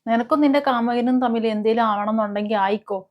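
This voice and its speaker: A woman hosting a commentary video, talking casually and with strong opinions in a halting, emphatic way. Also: on the high side, steady tone, muffled recording. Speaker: host of a gossip and commentary video channel.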